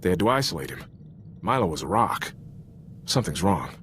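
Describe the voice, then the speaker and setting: A loyal male soldier in a cinematic sci-fi game. His low voice moves with lively intonation, frank and sincere in an informal military way. There is faint noise in the background. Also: fairly quick, heavily acted. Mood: concerned, urgent. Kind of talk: cinematic video game voice acting.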